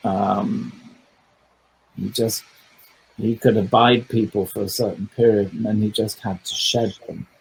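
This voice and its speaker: A man with a fairly low, husky voice answers questions as a visiting historian. He talks in a thoughtful, digressive way and stops often to find his words. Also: little pitch movement, a little slow, rough recording. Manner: thoughtful, digressive, with frequent hesitations. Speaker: historian guest. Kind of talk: conversational book talk.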